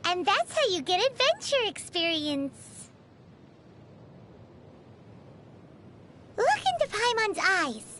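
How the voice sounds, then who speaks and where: A woman voicing a tiny, childish, cheeky fairy companion in a video game, with a squeaky voice. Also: very animated, unhurried, sharp tone, slight background noise, full character voice. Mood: chatty. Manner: refers to self in third person, whiny, exclaiming. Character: tiny floating fairy girl companion, childish, cheeky, greedy, food-loving. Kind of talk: video game character acting.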